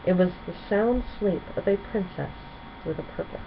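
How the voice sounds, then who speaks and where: A woman's voice: lowish voice, normal speed. A woman narrating a children's storybook expressively as a storyteller. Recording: muffled home recording with clear noise.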